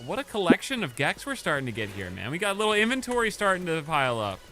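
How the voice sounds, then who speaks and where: A male streamer chatting casually with his live chat while playing a game, swinging from mumbled asides to loud outbursts. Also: on the high side, huge pitch swings, fast, soft background music. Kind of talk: casual gaming livestream chat.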